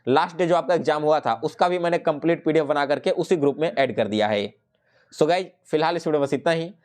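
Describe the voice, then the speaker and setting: A man speaks in a slightly high voice. He explains material like an exam-prep teacher, talking straight to his students and dropping English terms into his sentences. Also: fast, a little mellow. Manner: instructional, direct address to viewers, English terms mixed in.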